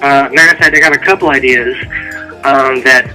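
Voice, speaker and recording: A man's voice: medium pitch, fairly even intonation, a little fast, pushed and bright, faint music behind, poor audio. A man speaking as an author guest in a casual interview, rambling and conversational.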